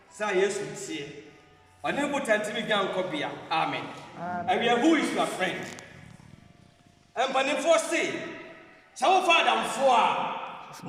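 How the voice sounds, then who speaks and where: A man with a Ghanaian accent preaching a sermon, swinging between quiet prayer and shouted call-and-response. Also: high voice. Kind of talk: sermon mixing Twi and English. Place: large church hall. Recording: echoey hall, far from mic, music and congregation.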